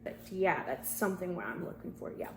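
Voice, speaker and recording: A woman's voice: fairly low pitch, a little fast. A woman interviewing for a job by phone, talking informally and somewhat hesitantly as she rephrases her answers. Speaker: job candidate. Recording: echoey room, faint background noise.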